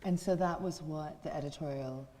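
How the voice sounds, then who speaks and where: An American woman hosting a casual interview, agreeable and quick with short affirmations. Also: low voice, mellow. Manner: agreeable, chuckles often, brief affirmations. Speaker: show host interviewing a guest. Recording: long hall reverb.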